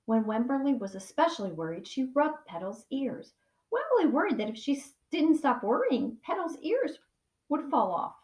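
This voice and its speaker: Animated woman reading a children's storybook. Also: high-pitched. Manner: animated, slow dramatic emphasis, pauses to ask questions. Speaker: pre-K teacher. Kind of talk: expressive children's storybook read-aloud. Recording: echoey room sound.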